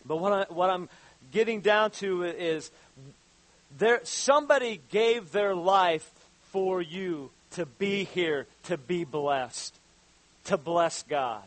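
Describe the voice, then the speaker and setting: High-voiced man in his fifties preaching. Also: fairly bright tone, no echo. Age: 50s. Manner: halting, repeats words, rises to fervent exhortation. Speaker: pastor. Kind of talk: sermon.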